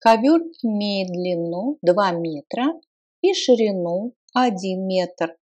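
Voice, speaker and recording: A woman teaching phrases, saying each one slowly and deliberately and repeating it for learners. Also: medium pitch, darkish tone. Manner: slow, deliberate, clearly spaced repetitions. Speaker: language teacher. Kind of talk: slow repeated phrase drills. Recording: slightly dull lo-fi sound.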